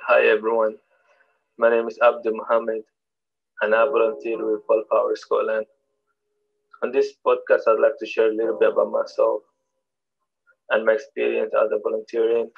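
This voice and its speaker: A young man from Somalia reading his own podcast about his life, in a steady, even storytelling manner. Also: on the low side, moderate pace, creaky, long pauses. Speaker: volunteer podcaster. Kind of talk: scripted personal podcast narration. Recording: tinny phone-like podcast with faint music.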